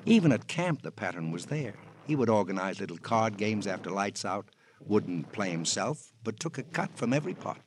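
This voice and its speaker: An actor playing a brooding, loyal American confidant, speaking in halting, reflective, theatrical phrases in radio crime drama style. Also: male voice, fairly low pitch, very animated. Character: brooding, loyal male confidant, protective older friend. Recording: faintly noisy old radio recording.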